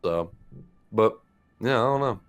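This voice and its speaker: A man's voice: fairly low pitch, lively intonation, unhurried, clean tone. A young man co-hosting a podcast, chatting loosely with lots of fillers and swearing. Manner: loose, profane, full of "like" fillers. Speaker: podcast co-host. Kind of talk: casual chat.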